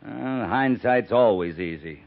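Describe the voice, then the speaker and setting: An actor playing a steady, plain-spoken cavalry captain, terse and firm, in a 1950s radio drama. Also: male voice, on the low side, a little slow, vocal fry, muffled recording, a little hiss or hum. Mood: firm, arguing. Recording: thin, boxy 1950s radio recording.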